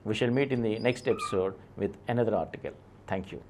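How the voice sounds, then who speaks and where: A man with an Indian accent reads a scripted essay in a formal, declamatory way, with deliberate phrasing and emphatic openings that fall away at the ends. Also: on the low side, heavy background noise. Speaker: reader presenting an article.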